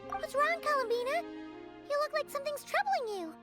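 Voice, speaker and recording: Squeaky, excitable little fairy girl. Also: a little fast, music in the background. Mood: curious, concerned. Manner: squeaky, exclaiming, refers to herself in third person. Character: tiny excitable childlike fairy companion, female, talkative and dramatic. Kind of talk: video game character dialogue.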